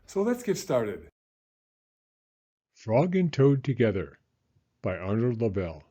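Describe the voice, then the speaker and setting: Middle-aged man, children's storyteller, lively intonation, husky tone, expressive delivery. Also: medium pitch, takes it slowly. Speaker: children's storyteller, elementary school teacher.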